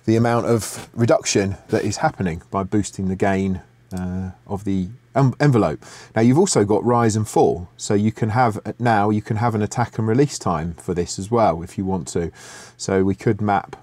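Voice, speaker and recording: Man talking through a dry software tutorial. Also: lowish voice, dry recording. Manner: relaxed, thinking aloud with many fillers and restarts. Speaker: music production tutor. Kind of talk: screen-share software tutorial. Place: home studio room.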